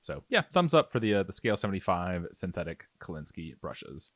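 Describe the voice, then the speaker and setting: A man's voice: low-pitched, very animated, a little fast, dry recording. A man giving a casual, explanatory tutorial, talking conversationally as if thinking aloud. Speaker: miniature painting tutorial host. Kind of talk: casual, explanatory tutorial narration over painting.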